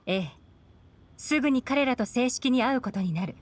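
A woman's voice: a little high, lively intonation, normal speed, lightly acted. An actress playing a cool, shrewd, assured young woman in an anime video game, poised and matter-of-fact. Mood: businesslike.

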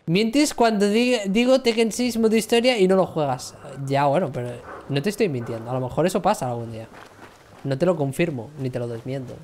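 A man's voice: fairly high pitch, brisk, darkish tone, a little hiss or hum. A man in his thirties chatting casually with his viewers while he plays, joking and mocking himself from his gaming chair at home. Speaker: livestream gamer. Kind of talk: casual banter with chat.

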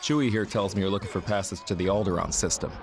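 An actor playing a cocky, laid-back smuggler captain in a video game. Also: male voice, low voice, fast talker, background noise. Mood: self-assured. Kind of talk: video game cutscene acting. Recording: game music behind.

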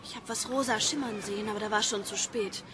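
An actress playing a curious, cheeky journalist in naturalistic radio-play dialogue, conversational in manner. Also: female voice, mid-range voice, steady tone, quick pace, background noise, close to an everyday voice. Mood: teasing, matter-of-fact.

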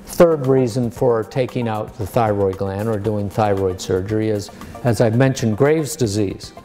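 An American man in his sixties, a surgeon explaining medicine to a lay audience in a measured, plain-spoken way. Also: on the low side, dark tone, faint music behind. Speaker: surgeon giving a public lecture. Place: medium-sized lecture room.

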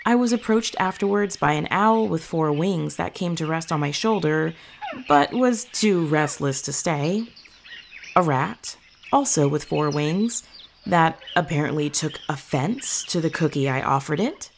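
Woman acting earnest young witch telling her tale in an audio drama, lowish creaky voice. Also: lively intonation, unhurried, a little hiss or hum, exaggerated voice. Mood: wondering, wistful. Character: earnest young witch fondly recounting meeting her familiar. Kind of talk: audio fiction monologue, storytelling.

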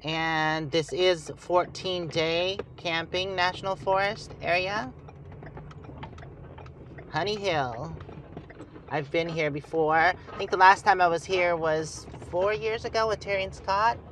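A man's voice: sits high, slow pace, fairly bright tone. A man hosting a casual travel vlog, chatting and thinking aloud as he drives, recorded inside a vehicle with road noise. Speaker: host.

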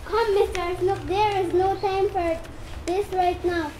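A woman's voice: extremely high pitch, steady tone, a little slow, a little mellow. A young-sounding female playing a little bird urgently seeking help in an amateur puppet show. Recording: noisy live puppet show.

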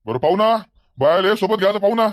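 High-voiced, talkative cartoon villager played by a man. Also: expressive, fast talker. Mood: earnest, persuasive. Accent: Varhadi Marathi. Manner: earnest, wordy, repeats himself. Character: talkative, level-headed male villager organizing neighbours. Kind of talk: comedy cartoon dialogue.

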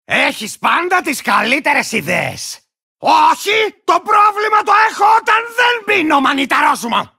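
A man voicing a manic, boastful, hot-tempered little warrior creature in a video game, with a gravelly, cracked voice and a ranting, barking delivery. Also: very high-pitched, big pitch swings, pushed and bright, shouting, some chatter behind, exaggerated voice. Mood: grumbling, exasperated. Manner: ranting, pompous, barking, bursting into cackles. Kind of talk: cartoonish video game character acting.

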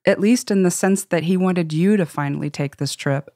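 A woman reads scripted podcast fiction in a deep, mellow voice. The sound is very dry, free of room echo. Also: normal speed.

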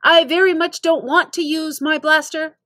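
A woman's voice: high voice, fairly even intonation, normal speed, bright, forceful tone, no echo, studio quality, pitched above the performer's own voice. A woman voicing an exasperated, panicky sixth-grade boy from a storybook, pleading and protesting. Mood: indignant protest.

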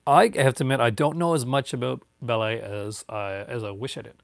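A man's voice: medium pitch, fairly even intonation, fairly quick, vocal fry, no room sound. Man, 40s, light Newfoundland accent, rambling live-stream chat, dry close sound, webcam at home. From Newfoundland, Canada. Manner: rambling, many fillers and restarts. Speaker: YouTuber answering viewer chat. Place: home room with bookshelves, indoors.

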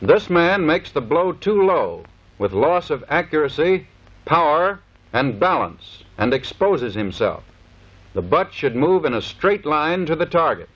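A man's voice: on the high side, sing-song, unhurried. A man giving scripted, matter-of-fact instructional narration for a training film. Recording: dull lo-fi 1930s film soundtrack.

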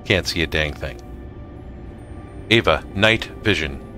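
A man with a low, bright and crisp-edged voice walks through a casual gaming tutorial. He speaks slowly and haltingly, breaking off mid-sentence before carrying on. Faint music plays behind him. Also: lively intonation. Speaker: gamer making a tutorial video. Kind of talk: casual software tutorial narration.

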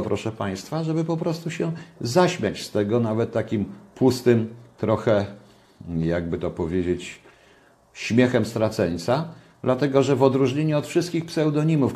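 A man's voice: medium pitch, mellow. An older man giving ironic, sarcastic political commentary from his home kitchen, repeating points for emphasis, recorded on a webcam.

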